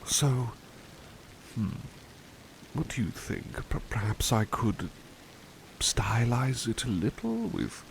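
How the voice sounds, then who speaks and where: A man playing a relaxed, courteous butler in an ASMR roleplay, polite and hesitant as he muses to the listener. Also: medium pitch, takes it slowly, gravelly, long pauses, slight background noise, full character voice, low-quality recording. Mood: musing, hesitant. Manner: genteel, polite, hesitant, musing. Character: relaxed, courteous male butler who paints. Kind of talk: ASMR roleplay, one-sided chat with listener.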